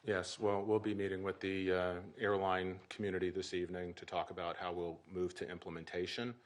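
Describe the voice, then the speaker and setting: A middle-aged man speaking as an aviation official at a press briefing, measured and formal with frequent restarts. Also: low voice, flat delivery.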